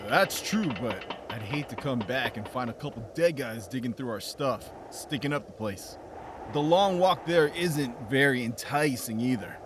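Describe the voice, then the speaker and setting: A man's voice: mid-range voice, expressive, moderate pace, close to an everyday voice. A man playing a lazy, sardonic, wisecracking camp survivor in a comic dub. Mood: joking. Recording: noticeable background ambience noise.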